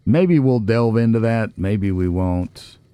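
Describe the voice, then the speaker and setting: Low, mellow man chatting over a game. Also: lively intonation, slow, clean tone, no echo. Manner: folksy, chatty, musing aloud to himself. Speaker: let's play host. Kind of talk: casual gameplay commentary.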